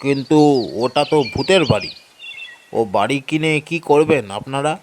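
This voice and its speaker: An actor playing a reserved middle-aged gentleman landlord in an audio story, grave and polite. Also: medium pitch, unhurried, noisy background, close to an everyday voice. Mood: polite inquiry.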